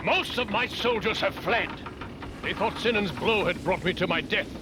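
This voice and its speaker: A man voicing a proud, embattled king and father in an animated adventure, speaking in grave, formal oratory. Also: sits high, moderate pace, background noise. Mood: grave, resigned. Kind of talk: animated adventure series acting.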